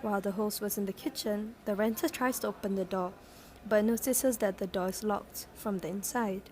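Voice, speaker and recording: A woman's voice: mid-range voice, fairly even intonation, moderate pace, a little mellow, close to an everyday voice. A woman reading scripted narration and news-style lines for a radio drama, with faint noise behind her. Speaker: narrator and newsreader.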